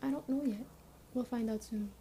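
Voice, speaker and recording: A woman playing a stern but caring survivor camp leader in an ASMR roleplay, speaking briskly and matter-of-factly straight to the listener. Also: fairly high pitch, fairly even intonation, warm tone, slight background noise, close to an everyday voice. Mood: casual, friendly. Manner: brisk, matter-of-fact, addressing the listener directly.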